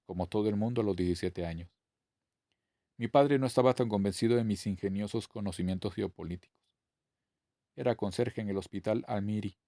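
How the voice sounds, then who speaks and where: The man you would hear on a homemade audiobook late at night, reading a novel in a deepish, soft-edged voice. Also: no room sound, clean studio sound. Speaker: audiobook reader. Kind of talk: scripted narration, reading a novel aloud.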